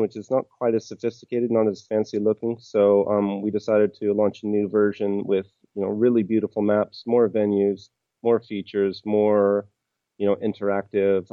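A man's voice: fairly low pitch, no room sound, phone-quality sound. A man from the United States with an American accent, answering interview questions about his game company in a hesitant way, full of ums and restarts.

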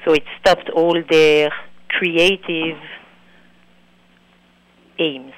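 A woman from Belgium with a French accent, a documentary filmmaker answering interview questions in an earnest, halting way that repeats words. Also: low-pitched, level tone, dry recording, low-quality recording.

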